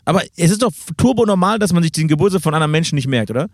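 A man in his late twenties chats casually and very quickly, in a voice a bit higher than average. He is blunt and teasing, full of swearing and youth slang. The sound is close and dry. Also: animated, no room sound. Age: late 20s. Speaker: streamer, podcast guest. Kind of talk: casual chat between friends.

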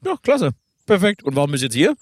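Quick, higher-voiced young man, blunt and slangy. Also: huge pitch swings, no echo. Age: late 20s. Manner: blunt, teasing, swearing, heavy youth slang. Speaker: streamer, podcast guest. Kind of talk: casual chat between friends.

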